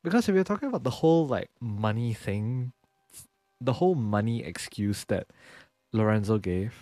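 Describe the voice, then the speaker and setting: A man's voice: medium pitch, expressive, moderate pace, warm tone, no echo. A man doing casual livestream game commentary, reacting and thinking aloud. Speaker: livestreamer, VTuber. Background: game music.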